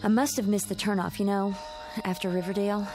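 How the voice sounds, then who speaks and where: An actress playing a tipsy young New York wife in a radio drama, placating and easily awed. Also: female voice, medium pitch, level tone, brisk, music in the background, close to an everyday voice. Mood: flustered.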